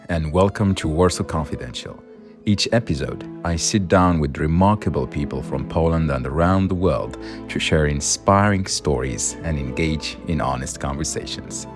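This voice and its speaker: A man hosting a podcast, reading scripted introductions and promotional copy. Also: extremely low pitch. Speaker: podcast host. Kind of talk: scripted intro and ad read, interview questions.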